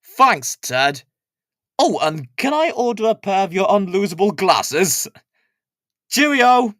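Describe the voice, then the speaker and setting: A man's voice: high voice, big pitch swings, slow pace, a bit bright, no echo, studio quality, pitched above the performer's own voice, exaggerated voice. Man doing a storybook postman, friendly, cheerful, earnest, bouncy intonation. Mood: delighted, cheerful. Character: friendly, good-natured male village postman.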